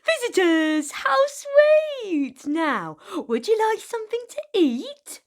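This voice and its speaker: A big, well-mannered storybook dragon, played by a woman, speaking high and loud. The words are stretched out slowly, with genteel, effusive exclamations and big swoops in pitch. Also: big pitch swings, heavily acted. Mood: delighted, welcoming. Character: big, polite, genteel dragon hosting unexpected visitors.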